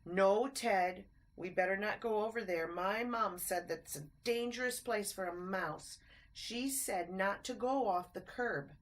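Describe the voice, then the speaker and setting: A woman voicing a timid, anxious little boy mouse in a children's storybook, pleading in a high voice. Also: unhurried, close to an everyday voice. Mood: cautious.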